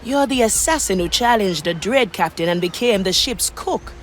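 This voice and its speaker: An actress playing a confident, plucky female pirate recruit in a video game, with a brisk, wry delivery. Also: mid-range voice, animated, slight background noise, natural voice. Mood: curious.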